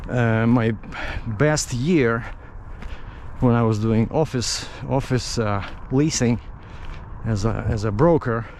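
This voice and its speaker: A middle-aged man from Russia with a Russian accent tells stories casually in English as a non-native speaker, haltingly searching for words. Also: mid-range voice, darkish tone. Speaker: vlogger. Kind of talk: casual rambling storytelling. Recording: outdoor street noise with traffic.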